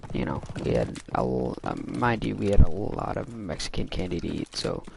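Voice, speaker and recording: A man's voice: medium pitch, gravelly, heavy background noise. A young man telling a casual, rambling storytime into a microphone, full of fillers and restarts. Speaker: storytime vlogger. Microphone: desk microphone. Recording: loud game music underneath.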